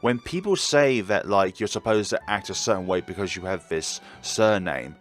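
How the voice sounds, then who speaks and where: A man's voice: low voice, lively intonation, normal speed. A man giving casual, chatty game commentary, reacting expressively as a let's play host. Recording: background game music.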